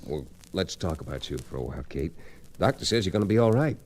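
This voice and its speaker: An actor playing a steady, kindly frontier lawyer who tells his story in a folksy, easygoing way in an old-time radio western. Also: deep voice, fast. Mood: kindly, reassuring. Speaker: narrator and lead.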